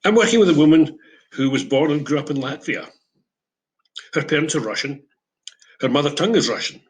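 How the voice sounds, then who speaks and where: A man in his seventies with a Scottish accent, a retired English teacher giving a reflective prepared talk with gentle humour. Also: on the high side, expressive, fairly quick, poor audio. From Scotland. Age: 70s.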